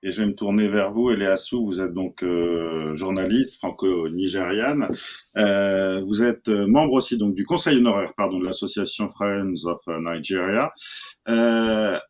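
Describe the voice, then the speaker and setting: A man moderating a conference panel, asking long halting questions full of fillers. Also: sits low, a little mellow.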